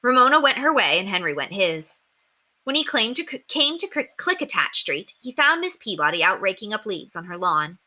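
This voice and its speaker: Bright-voiced woman reading a children's story. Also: mid-range voice, normal speed. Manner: animated, acts out dialogue. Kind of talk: expressive read-aloud narration.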